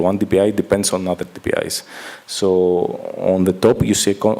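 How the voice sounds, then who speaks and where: A middle-aged man from Greece presents technical work at a conference, his voice sitting a little low. He talks haltingly, with frequent fillers and restarts. He holds his microphone away from his mouth on a large hall stage. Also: a little mellow. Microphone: handheld microphone held at a distance.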